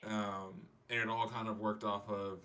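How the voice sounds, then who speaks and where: A man's voice: on the low side, flat delivery, a little slow, sharp tone. A man chatting informally as a podcast panelist, with slangy, sweary phrasing. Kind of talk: casual podcast chat.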